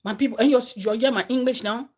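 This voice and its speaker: A woman with a Liberian accent tells a story to her followers. Her voice sits a bit high and swings from loud bursts to hushed asides. She talks in a casual, animated way. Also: quick pace. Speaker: comedian and content creator.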